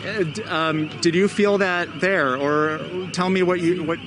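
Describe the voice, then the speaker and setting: A male TV news reporter doing live field reporting outdoors at a protest, asking measured, polite questions. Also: sits high, fairly even intonation, noisy background. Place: outdoors at a night protest near a mall.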